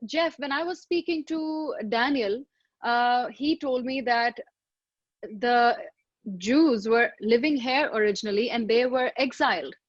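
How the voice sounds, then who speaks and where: A woman's voice: a little high, measured pace, dry recording. Polite woman host, dry muffled sound. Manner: polite, attentive, frequent backchannel murmurs. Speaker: podcast host and interviewer. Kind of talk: interview questioning.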